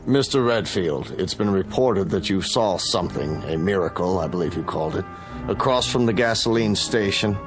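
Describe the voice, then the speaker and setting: The mayor's reserved associate in an audio drama. He questions formally and matter-of-factly in a low voice, with clear music behind him. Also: animated, moderate pace. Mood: formal, probing.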